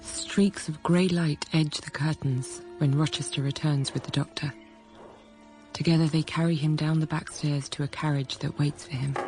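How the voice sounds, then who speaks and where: An actress playing a dutiful young governess in a period radio drama, speaking in a measured, restrained, formal way. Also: female voice, low voice, steady tone, darkish tone, soft background music. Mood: hushed, tense. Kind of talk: period radio drama acting and first-person narration.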